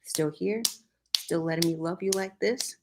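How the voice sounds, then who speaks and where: A woman voicing a tender, shaken young man who speaks in a raw, hushed voice. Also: lowish voice, a little slow, very noisy.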